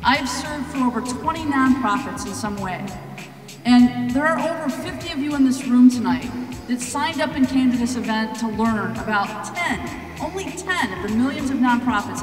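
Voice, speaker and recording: A woman in her fifties addresses a crowd in a large hall, her loud voice urging everyone to get involved. She is heard from some way off, with a long, roomy echo. Faint music plays behind her. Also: fairly high pitch, lively intonation, moderate pace, recorded from a distance. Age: 50s. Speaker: event organizer. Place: large hall at a live event.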